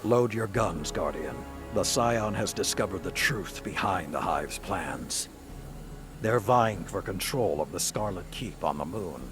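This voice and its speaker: An actor playing a grave, stern veteran commander in a video game, with a gravelly voice and a solemn, commanding, deliberate delivery. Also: male voice, low voice, lively intonation. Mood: grave resolve. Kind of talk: dramatic video game dialogue. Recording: dramatic music behind the voice.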